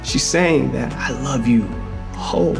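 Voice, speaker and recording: An actor playing a reflective man in a theatrical first-person memoir monologue, telling it conversationally with emphasis and pauses. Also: mid-range voice, vocal fry. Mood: tender, reflective. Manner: conversational, emphatic storytelling with pauses and repeated words. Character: reflective queer man fondly recalling his hustling grandmother. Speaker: actor in a dramatic reading of a memoir. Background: music.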